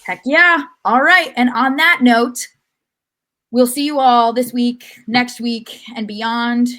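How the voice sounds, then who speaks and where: A woman's voice: fairly high pitch, fairly even intonation, slow, bright, forceful tone. A woman hosting a podcast, chatting casually about sports in an enthusiastic, talkative way. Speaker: podcast host.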